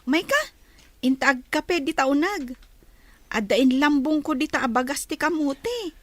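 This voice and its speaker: A woman's voice: high voice, lively intonation, moderate pace, a bit bright, no echo. A woman playing a sensitive, dutiful teenage schoolgirl in a radio drama, with a plaintive manner. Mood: shy, casual. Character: hurt, dutiful teenage high school girl. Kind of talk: Filipino radio drama acting.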